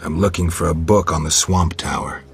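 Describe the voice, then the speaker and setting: An actor playing a gruff, stoic monster hunter in a video game, terse and deadpan, with a gravelly voice. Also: male voice, extremely low pitch, moderate pace. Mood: deadpan, guarded. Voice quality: gravelly, husky.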